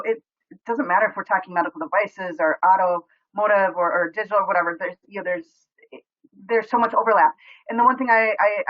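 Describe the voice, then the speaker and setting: A woman's voice: medium pitch, lo-fi sound. A woman moderating a panel, effusive and rambling with restarts. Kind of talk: webinar moderation.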